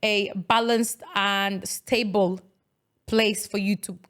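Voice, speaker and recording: A young woman co-hosting a casual podcast chat, agreeable and quick with backchannel yeahs. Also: medium pitch, fairly even intonation, a bit bright. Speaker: podcast co-host.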